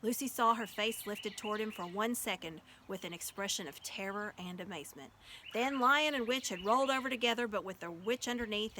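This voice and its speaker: A woman's voice: mid-range voice, sharp tone. A woman narrating a children's story expressively as an audiobook reader. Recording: faint background noise.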